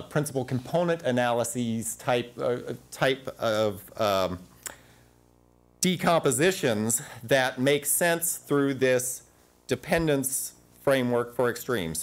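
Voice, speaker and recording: A man in his forties giving an academic panel talk in a conference room on a lapel mic, animated and full of restarts. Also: mid-range voice. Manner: animated, gesturing at slides, frequent restarts.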